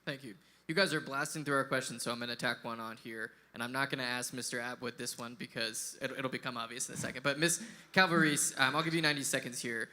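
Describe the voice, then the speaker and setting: A man moderating a political debate in a casual, quick-talking way. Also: medium pitch, fairly even intonation, sharp tone. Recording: long reverb in a large venue.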